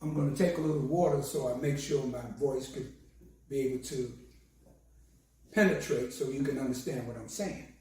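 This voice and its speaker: A hoarse man, a minister, giving a slow and solemn sermon with a recited prayer. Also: medium pitch, darkish tone, a little hiss or hum. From United States. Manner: slow, measured, solemn delivery. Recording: very echoey, distant from the mic.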